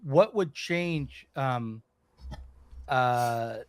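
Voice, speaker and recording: A man's voice: medium pitch, animated, extremely slow, smooth, no room sound. Hesitant male podcast host. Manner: searching for words, many fillers. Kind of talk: podcast discussion.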